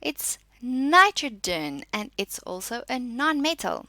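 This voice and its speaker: A woman teacher with a bright, forceful voice that carries a noticeable creak. Her pitch moves in a lively way as she reads out and explains lesson material in short, deliberate statements. She takes her time, keeping an instructive manner. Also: dry recording. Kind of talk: spoken lesson, reading out and explaining.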